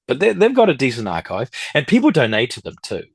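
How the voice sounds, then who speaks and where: A man's voice: medium pitch, huge pitch swings, fast, vocal fry, slightly rough, no room sound. A middle-aged man talking casually and at length as an interview guest, rambling through anecdotes and acting out what others said. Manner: talkative, rambling, anecdotal, quotes others' speech. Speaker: podcast guest, record collector and dealer.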